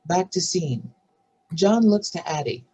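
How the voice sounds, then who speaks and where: A woman's voice: lowish voice, expressive, unhurried, mellow, muffled recording, a little hiss or hum. A woman reading stage directions as scripted narration for a drama table read.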